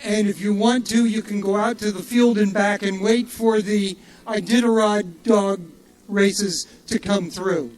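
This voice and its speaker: A man's voice: sits high, steady tone, a little slow, a little mellow, low-quality recording. An older man emceeing from an outdoor festival stage, giving casual, rambling announcements with many fillers and jokes at his own expense.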